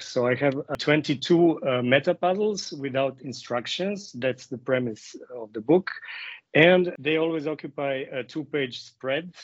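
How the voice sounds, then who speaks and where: A man's voice: mid-range voice, dry recording. A middle-aged man from Serbia, explaining conversationally as a guest, with hesitant phrasing. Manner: hesitant, frequent fillers and self-corrections. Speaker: interview guest, puzzle author. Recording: dry, slightly dull lo-fi audio.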